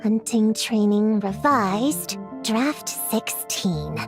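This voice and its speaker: A woman's voice: mid-range voice, expressive, very slow, over music. A woman playing a bookish, stubborn and self-serious young witch girl in an anime-style video game. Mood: studious, self-absorbed.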